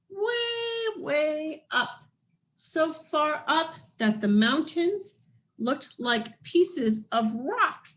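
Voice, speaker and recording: A woman reading a children's picture book aloud expressively, with deliberate phrasing and drawn-out emphasis. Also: sits high. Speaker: picture book reader, planetarium director.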